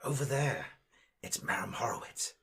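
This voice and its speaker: A man's voice: medium pitch, very animated, normal speed, pushed and bright, breathy edge, full character voice. A man playing a vain, snobbish amateur actor in a comic audio drama, with affected, gushing, pompous delivery. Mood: starstruck.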